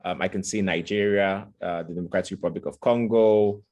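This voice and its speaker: A man's voice: sits low, fairly even intonation, brisk, darkish tone. A man from Cameroon opening a webinar as host, speaking hesitantly with many fillers. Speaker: host, student organizer. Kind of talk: webinar introduction. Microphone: video call.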